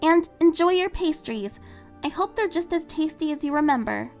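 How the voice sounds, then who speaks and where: A woman voicing a cheerful, eager teenage girl heroine who talks in a bubbly, excitable way. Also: high-pitched, moderate pace, soft background music, voice pushed up. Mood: upbeat.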